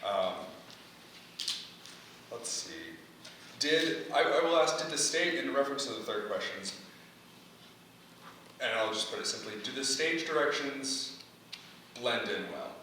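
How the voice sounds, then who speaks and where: A man's voice: mid-range voice, expressive, a little slow, pauses often, pushed and bright. A man leading an informal feedback discussion as the playwright, speaking hesitantly and groping for words. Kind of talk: informal question-and-answer discussion. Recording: very echoey room, distant mic, faint noise.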